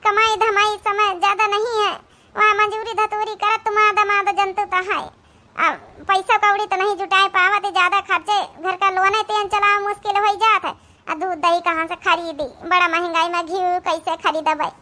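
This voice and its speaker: A woman's voice: extremely high pitch, flat delivery, normal speed, pushed and bright. A woman voicing a worried young married daughter in a cartoon comedy, lamenting with an Awadhi accent. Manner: plaintive, lamenting.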